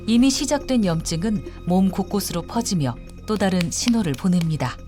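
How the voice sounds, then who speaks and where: Measured female narrator over music. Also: medium pitch, lively intonation, mellow. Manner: measured, dramatic phrasing. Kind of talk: scripted documentary narration.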